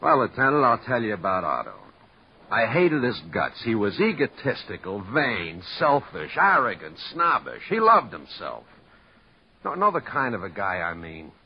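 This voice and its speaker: A man's voice: on the low side, wildly swooping pitch, moderate pace, slight background noise. An actor playing a cocky, wisecracking male vaudeville comedian, in 1940s radio acting. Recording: muffled old radio recording.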